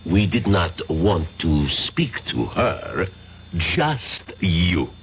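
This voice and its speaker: A man's voice: deep voice, big pitch swings, extremely slow, exaggerated voice. A man playing a suave, menacing foreign crime boss in a radio drama, with a mocking foreign-sounding accent. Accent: French-sounding foreign. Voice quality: gravelly, smooth and mocking. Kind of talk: 1970s radio drama acting. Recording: dull vintage radio broadcast, faint hiss.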